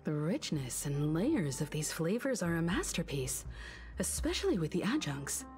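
Low-pitched, elegant, poised woman. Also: big pitch swings, normal speed, a little mellow. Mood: thoughtful. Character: poised, elegant adult woman, thoughtful and refined. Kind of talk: English game voice acting. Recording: game dialogue over clear background music.

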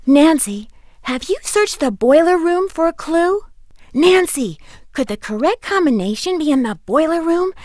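An actress playing a young, fretful but encouraging friend who gives earnest, clearly spoken hints in a video game. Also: female voice, sits high, no room sound, studio quality.

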